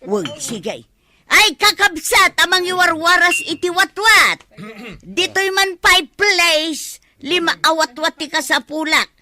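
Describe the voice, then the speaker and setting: A woman's voice: extremely high pitch, expressive, takes it slowly, sharp tone, exaggerated voice. An actress playing a boisterous, food-grabbing village woman in an Ilocano radio comedy drama, with an Ilocano accent. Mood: greedy, excited. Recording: music under the dialogue.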